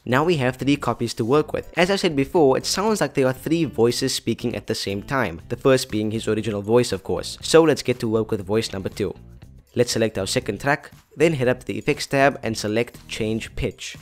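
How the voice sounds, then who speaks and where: A man's voice: mid-range voice, fairly quick. A young man presenting a scripted tutorial in a chatty, playful way, addressing viewers directly. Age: young adult. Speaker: tutorial host. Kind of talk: scripted tutorial narration to camera. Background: background music.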